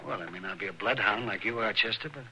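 A man playing a wry, folksy frontier town doctor in a 1950s radio western, with dry and halting speech. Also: on the low side, noisy background, close to an everyday voice. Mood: grave. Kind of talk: 1950s radio drama acting.

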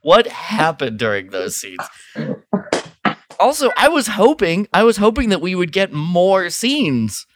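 A man's voice: high-pitched, wildly swooping pitch, a little slow, a bit bright, dry recording. A man co-hosting a podcast, chatting casually with informal, emphatic reactions. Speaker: podcast co-host. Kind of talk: casual chat.

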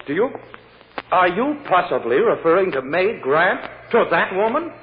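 A man's voice: high-pitched, sing-song, moderate pace, slight background noise. An American actor playing a fussy, indignant male attorney in an old radio drama, stuffy and emphatic. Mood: shocked, indignant. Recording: narrow, tinny old radio recording.

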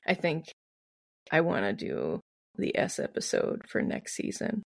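A woman's voice: deep voice, big pitch swings, measured pace, creaky, no echo. Giggly Midwestern American woman, dry close sound. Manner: giggly, playful, acts out quotes. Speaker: podcast co-host. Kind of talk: casual comedic history storytelling.

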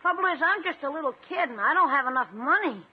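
High-voiced wisecracking schoolboy. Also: animated, fast. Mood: falsely innocent. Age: child figure. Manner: wisecracking, falsely innocent. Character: cheeky scheming schoolboy nephew, wisecracking. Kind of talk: 1950s radio comedy acting. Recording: muffled old radio broadcast.

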